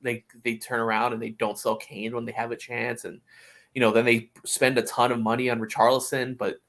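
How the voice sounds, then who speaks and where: A man co-hosting a sports podcast, giving opinions in casual talk and often halting to find his words. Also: medium pitch, a bit bright. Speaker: podcast co-host. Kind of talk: casual soccer discussion.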